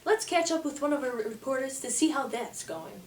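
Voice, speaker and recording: Young woman as a high-voiced theatrical news anchor, echoey. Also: animated, moderate pace. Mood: gushing, scatterbrained. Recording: echoey room, distant mic, faint noise.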